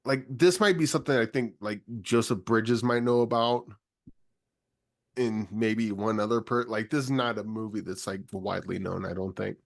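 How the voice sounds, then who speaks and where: A man with a somewhat low voice co-hosts a film podcast over a video call. He speaks casually and haltingly, restarting his sentences as he recalls things. The sound is dry and close. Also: gravelly, no room sound. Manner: hesitant, lots of fillers and restarts. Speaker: podcast co-host.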